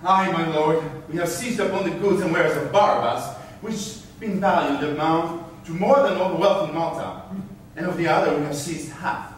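A man's voice: a little high, moderate pace, natural voice. A man as a formal officer in a very echoey hall. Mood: formal, cold. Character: dutiful, hard-hearted officer serving the governor. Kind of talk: theatrical staged reading of Elizabethan verse. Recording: echoey hall, distant mic, faint noise.

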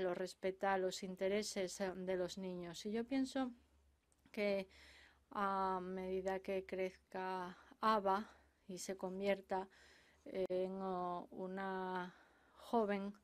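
A woman interpreting conference speeches simultaneously from a booth, halting often with filler sounds and restarts. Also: mid-range voice.

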